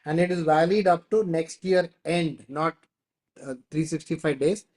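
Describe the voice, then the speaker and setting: A man's voice: fairly high pitch, moderate pace, a little mellow. A man with an Indian accent hosting an online class, explaining and answering questions, often repeating himself. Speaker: host of an online training class. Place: online video call.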